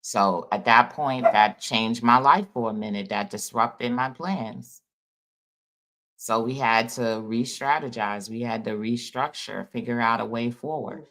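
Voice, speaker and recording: Gravelly-voiced man in a rambling talk. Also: mid-range voice, unhurried, sharp tone. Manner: loose, rambling, conversational; folksy and informal. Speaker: spiritual vlogger. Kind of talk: casual spiritual monologue.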